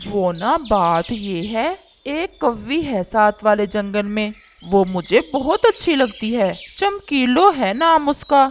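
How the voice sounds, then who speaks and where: A woman's voice: on the high side, animated, slow pace, hardly any pauses, a little hiss or hum. A woman voicing a whiny, scheming, lovesick male crow in a children's cartoon, with a pleading, complaining manner. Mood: sheepish.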